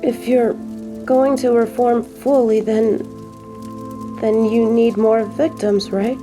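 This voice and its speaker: A woman playing an anxious, gentle female researcher in an audio drama, speaking hesitantly and pleadingly. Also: fairly high pitch, steady tone, takes it slowly, music in the background. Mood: hesitant, careful.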